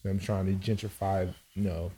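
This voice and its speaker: A man of about thirty-one from Cameroon talks as a podcast guest. His voice is low and soft-edged, with a relaxed tone. He chats casually, often pausing to hunt for the next word. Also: husky, rough recording. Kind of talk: casual chat.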